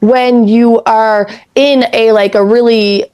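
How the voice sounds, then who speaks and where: A raspy-voiced woman in her thirties from Canada, co-hosting a podcast in rambling, casual chat that drifts into spiritual talk and easy swearing. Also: on the high side, steady tone, slow, highs cut off. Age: 30s. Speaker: podcast co-host.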